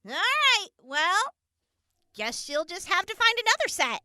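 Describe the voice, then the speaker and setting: A woman's voice: very high voice, big pitch swings, a little slow, pushed and bright, no room sound, studio quality, full character voice. A woman playing a tough, wry and evasive wasteland survivor in an audio drama.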